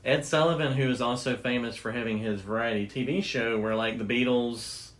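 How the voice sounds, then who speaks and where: A man's voice: mid-range voice, fairly even intonation, a little fast. A man hosting a casual talk show, explaining things in a conversational, enthusiastic way. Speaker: podcast host. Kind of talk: casual chat about radio history.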